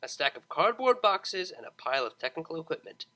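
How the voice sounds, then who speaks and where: A narrator reading a story aloud in an expressive, scripted audiobook style. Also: medium pitch, fairly quick. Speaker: fan fiction audiobook narrator.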